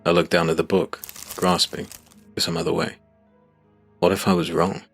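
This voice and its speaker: A man reading scripted first-person fiction as narrator, in a grave, measured, dramatic way. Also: low voice, level tone, vocal fry, fairly bright tone, soft background music.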